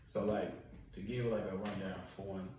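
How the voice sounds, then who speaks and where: Young baltimore guy, lowish voice, chill slangy podcast talk, far off mic in a real echoey room. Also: steady tone, normal speed, warm tone. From Baltimore County, Maryland. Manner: laid-back storyteller with slang and filler words. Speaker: podcast guest, DJ. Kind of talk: casual podcast chat. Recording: echoey room, mic at a distance, faint noise.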